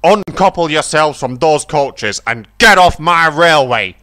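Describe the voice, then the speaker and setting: A man's voice: fairly high pitch, expressive, measured pace, pushed and bright, dry recording, studio quality, pitched above the performer's own voice. A man voicing a stern, fair-minded male railway boss in a children's story, speaking formally and emphatically. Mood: angry. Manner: formal, emphatic speech-making.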